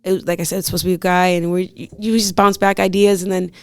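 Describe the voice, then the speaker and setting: Woman, raspy, gravelly, casual slang, dry close sound. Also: on the low side, little pitch movement, rapid-fire, dry recording. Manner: slangy, rambling, laughs often. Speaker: rapper guest. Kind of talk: casual interview chat.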